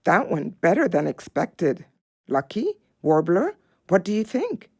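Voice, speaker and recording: A woman's voice: on the low side, sing-song, unhurried, a little mellow, husky, heavily acted. A woman voicing a loyal, pack-minded dog in an audio play. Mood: satisfied, encouraging.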